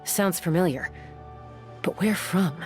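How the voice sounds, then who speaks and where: A woman's voice: lowish voice, slow, darkish tone. A woman voicing a tough, confident female gunslinger in a video game, firm, commanding and probing. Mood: suspicious. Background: game music.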